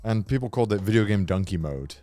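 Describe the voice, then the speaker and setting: A man's voice: on the low side, expressive, fast talker, mellow, soft background music. A man co-hosting a gaming podcast, chatting in a blunt, informal way with casual swearing. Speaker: podcast co-host. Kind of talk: casual podcast chat about video games.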